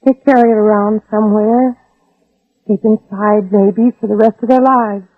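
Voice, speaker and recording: A woman's voice: mid-range voice, fairly even intonation, normal speed, phone-quality sound, close to an everyday voice. An actress playing a brave, protective mother in a 1960s radio drama, pleading earnestly and persuasively with an armed man. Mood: tender, earnest. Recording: old band-limited radio recording, husky, dry.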